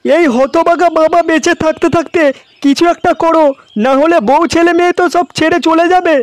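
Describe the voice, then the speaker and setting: A man voicing a scolding, long-winded old father in a comedy cartoon, with a pitched-up voice. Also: fairly even intonation, fairly quick. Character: nagging, scolding old village father, comically crude.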